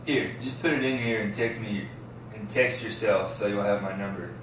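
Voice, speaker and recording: A man's voice: fairly low pitch, normal speed, lightly acted. A man playing a friendly, laid-back teenage stoner boy in an amateur script read. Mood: friendly, casual. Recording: echoey room, distant mic, faint noise.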